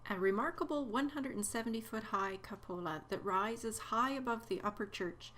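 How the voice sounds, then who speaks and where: A woman narrating her travel video in a scripted, even and informative way. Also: fairly high pitch, moderate pace.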